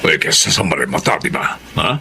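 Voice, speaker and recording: A man's voice: very deep voice, lively intonation, fairly quick, creaky, bright, forceful tone, husky, heavily acted. A man playing a greedy, wheedling middle-aged Filipino brother in a radio drama. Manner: eager, wheedling.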